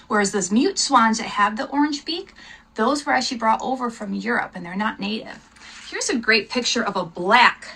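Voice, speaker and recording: A woman's voice: fairly high pitch, bright, forceful tone, slightly rough, poor audio. A woman hosting a live lesson for children, explaining things instructively, spelling out words and speaking directly to her viewers. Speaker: homeschool teacher, lesson host.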